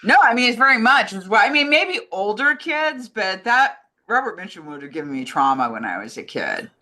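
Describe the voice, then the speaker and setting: Female co-host for listener questions, bright, forward voice at a middling-to-low pitch, informal conversational delivery. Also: very animated, fairly quick. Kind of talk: casual film chat.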